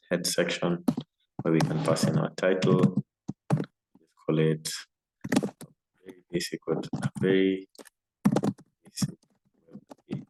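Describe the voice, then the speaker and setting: A man teaching a casual live coding lesson on a video call, with lots of fillers. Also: low-pitched. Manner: relaxed and casual, many fillers. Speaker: coding instructor. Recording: roomy echo, faint noise.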